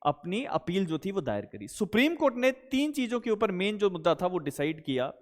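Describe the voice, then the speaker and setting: A man's voice: sits high, big pitch swings, brisk. A man with an Indian accent giving an explanatory law lecture, posing questions and answering them in a didactic way, mixing in English legal terms. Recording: long hall-like reverb.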